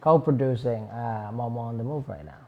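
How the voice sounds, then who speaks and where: A man's voice: medium pitch, moderate pace, lo-fi sound, slight background noise. A young man from Puerto Rico, a guest on a podcast, chatting casually and rambling with many fillers and restarts. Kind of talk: casual chat.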